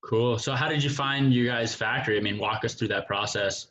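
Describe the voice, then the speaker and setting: A man's voice: mid-range voice, brisk, fairly bright tone. A man hosting a casual interview in an informal, affirming manner. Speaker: interview host.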